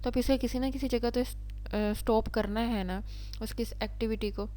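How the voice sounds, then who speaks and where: A woman's voice: on the high side, fairly even intonation, normal speed, warm tone. A woman with a Pakistani accent giving an explanatory lecture as a law teacher, restating each point step by step. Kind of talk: explanatory lecture, switching between reading definitions and explaining.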